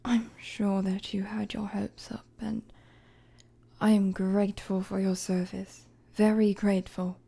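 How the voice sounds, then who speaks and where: A woman acting a slow-spoken, soft, weary queen. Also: medium pitch, little pitch movement, gravelly, breathy edge. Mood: awkward, pitying. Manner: measured, hesitant, formal wording. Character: weary young queen, regal, guarded, firm with her friend. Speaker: audio roleplay performer. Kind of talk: dramatic audio roleplay, spoken to the listener.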